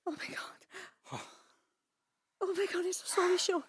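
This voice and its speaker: A woman's voice: extremely high pitch, fairly even intonation, unhurried, dry recording, studio quality. A middle-aged woman playing a bitter, wounded grown daughter in an emotional audio drama. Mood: distraught. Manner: emotional, swinging from quiet bitterness to cries. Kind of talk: naturalistic audio drama acting.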